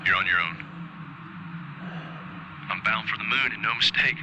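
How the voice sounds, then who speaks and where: A man's voice: fairly low pitch, moderate pace. An actor playing a bitter, sardonic doomed male astronaut in a radio drama, through an intercom filter. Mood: wry. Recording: very noisy spaceship radio link.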